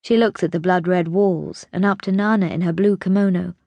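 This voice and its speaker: A woman reading an audiobook as narrator, telling the story expressively in a measured, dramatic way. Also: lowish voice, steady tone, vocal fry, no echo, muffled recording.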